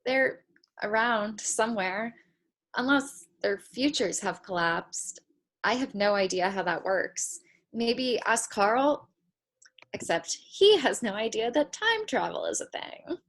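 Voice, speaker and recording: A woman's voice: on the high side, expressive, slow, a bit bright, full character voice. A woman playing a bewildered, skeptical young woman meeting her future child in a table read, giving short, incredulous replies. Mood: skeptical, puzzled. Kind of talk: virtual table read of a play. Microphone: video call.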